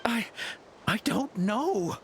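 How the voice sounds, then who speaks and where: A man's voice: high-pitched, expressive, drawn-out words, slight background noise, full character voice. A man playing a cowed, nervous hired henchman in a video game, faltering and hesitant as he speaks.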